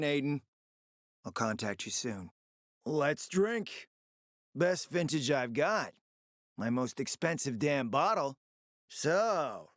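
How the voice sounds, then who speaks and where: Sly smooth-talking spymaster for a game, theatrical and urbane, pitch moves around a lot, dry recording. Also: male voice, dry recording. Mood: smug, sly. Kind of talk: video game voice acting.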